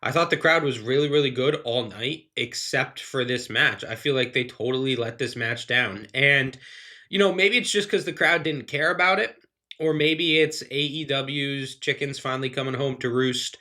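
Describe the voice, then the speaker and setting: A man with a bright, forward voice that sounds slightly phlegmy from allergies. He talks through his thoughts in loose, earnest run-on sentences. His delivery is casual and analytical, like a host giving a spoken review. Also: mid-range voice, fairly even intonation, normal speed. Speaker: wrestling reviewer, show host.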